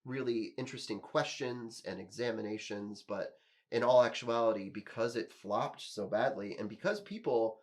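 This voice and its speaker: A man's voice: mid-range voice, moderate pace. A man hosting a podcast, casually giving his opinions and reasoning through them at length. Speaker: podcast host.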